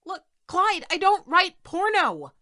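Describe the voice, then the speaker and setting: Bright-voiced, exasperated woman writer. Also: extremely high pitch, sing-song, slow pace, dry recording, clean studio sound, heavily acted. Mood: indignant, emphatic. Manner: emphatic, articulate, exasperated. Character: defensive, articulate female writer of women's erotica. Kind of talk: stage-style audio drama acting.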